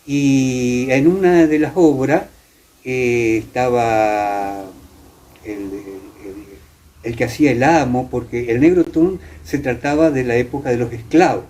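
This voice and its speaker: Older Argentine man with a dark voice. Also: medium pitch. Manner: halting, repeats words and phrases. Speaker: interviewee, veteran radio drama actor. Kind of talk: casual reminiscing conversation.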